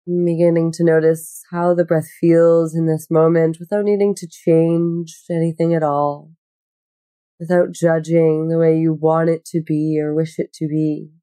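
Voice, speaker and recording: A woman guiding a meditation in a gentle, unhurried, soothing manner with long pauses. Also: low-pitched, level tone, clean tone, no room sound. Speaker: yoga nidra meditation guide. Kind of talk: guided meditation.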